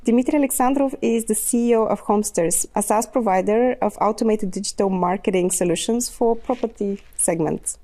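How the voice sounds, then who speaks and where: A woman hosting a podcast, reading an introduction and then asking a guest gentle, probing questions. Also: mid-range voice, a little fast, hardly any pauses. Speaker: podcast host. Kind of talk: interview hosting with a read intro.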